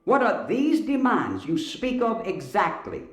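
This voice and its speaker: Man acting a high-voiced skeptical opera director. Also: huge pitch swings, measured pace, reverberant, full character voice. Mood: skeptical, resolved. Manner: incredulous, theatrical, questioning. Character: brisk, skeptical new opera house director. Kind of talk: audio drama acting.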